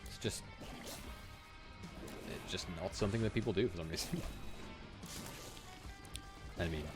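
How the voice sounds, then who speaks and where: Deep-voiced young British man streaming. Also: a little slow, music in the background. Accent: general British. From Wales. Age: young adult. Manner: chatty, laughs often, talks to chat. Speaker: game streamer. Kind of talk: casual gameplay commentary. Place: indoor room at home.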